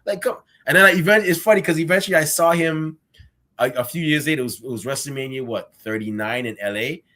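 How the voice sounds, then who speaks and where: A man from Guyana hosting a casual interview, telling stories in an animated way and often saying "man". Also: fairly high pitch, quick pace. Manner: animated storytelling, lots of "man" and restarts. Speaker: podcast host.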